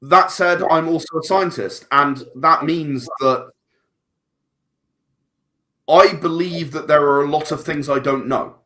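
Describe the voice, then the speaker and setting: A man's voice: on the high side, slow pace, pauses often, bright, forceful tone. A man, a podcast guest talking casually and thoughtfully, often restarting his words. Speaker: guest filmmaker.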